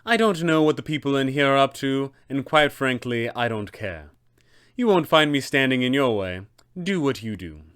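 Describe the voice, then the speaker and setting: A man voicing a cold, scheming female wizard villain for a tabletop game, disdainful and measured. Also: mid-range voice, animated, fairly bright tone, clear, resonant tone, natural voice. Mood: cool indifference. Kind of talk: tabletop game character acting.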